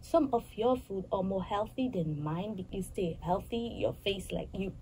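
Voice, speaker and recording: A young woman from Haiti in her twenties, speaking as an interview guest. Her voice is mellow and soft-edged, and she talks earnestly, pausing and restarting her phrases. Faint background noise sits under the recording. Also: medium pitch, poor audio. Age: 20s. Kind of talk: casual interview answers.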